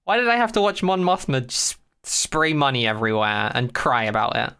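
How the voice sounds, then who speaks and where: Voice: mid-range voice, big pitch swings, normal speed, a bit bright, clear, resonant tone. A podcast co-host chatting casually in a blunt, sweary, opinionated way. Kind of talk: casual chat.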